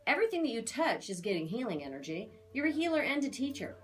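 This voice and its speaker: A woman's voice: on the high side, big pitch swings, quick pace, soft background music. A woman giving a conversational spiritual reading as an aura reader, talking in a chatty, emphatic, assured way.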